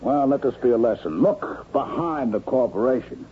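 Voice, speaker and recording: An actor in a radio drama playing a bossy, brusque company boss who hectors, interrupts and justifies himself. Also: male voice, mid-range voice, animated, moderate pace, slightly rough. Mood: smug, blustering. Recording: tinny, hissy old radio broadcast recording.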